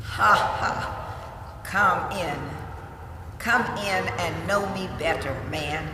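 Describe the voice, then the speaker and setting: In an echoey hall, far from the mic with music underneath, a jolly giant spirit speaks with booming good cheer. The delivery is radio-drama hearty and extremely drawn out. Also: male voice, extremely slow, noisy background. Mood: jovial, welcoming. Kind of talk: 1940s-style radio play acting.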